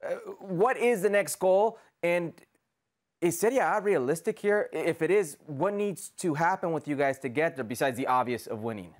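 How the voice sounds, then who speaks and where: A male interview host with a somewhat high-pitched voice who asks his questions casually. He thinks out loud and comes at topics with open curiosity. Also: lively intonation, moderate pace. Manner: curious, thinking aloud while asking.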